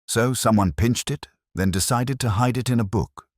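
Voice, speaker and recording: A man's voice: mid-range voice, normal speed, dry recording, studio quality, pitched above the performer's own voice, natural voice. A man playing an eager, puzzled police sergeant sidekick with a questioning manner in a mystery story.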